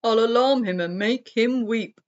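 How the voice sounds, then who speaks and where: A woman voicing a plucky, scheming male hedgehog in a children's story, loud and determined. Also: a little high, measured pace, muffled recording, pitched above the performer's own voice, close to an everyday voice. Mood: bold scheming.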